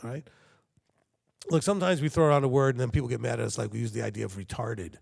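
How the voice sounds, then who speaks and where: A man's voice: medium pitch, quick pace, creaky, a little mellow, big-room sound. A man preaching a sermon to his congregation, talking conversationally and checking in with frequent rhetorical questions. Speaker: congregation leader.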